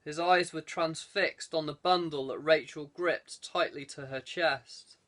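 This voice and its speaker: A man's voice: a little high, steady tone, unhurried, a bit bright. A man reading an adventure novel aloud as scripted audiobook narration. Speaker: audiobook reader.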